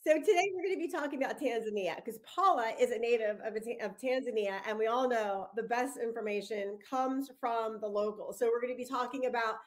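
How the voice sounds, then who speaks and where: A woman's voice: on the high side, fairly quick, hardly any pauses. Bubbly upbeat woman podcast host. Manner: bubbly, enthusiastic, laughs easily. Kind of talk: casual podcast interview.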